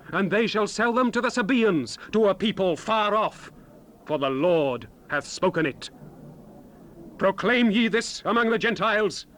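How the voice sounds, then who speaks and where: A man playing a solemn, fervent Bible prophet in a dramatized scripture recital, with grand, measured, declamatory phrasing. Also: high voice, a bit bright. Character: male prophet Joel proclaiming God's words, solemn and fervent. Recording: noisy unprocessed 1970s cassette rip.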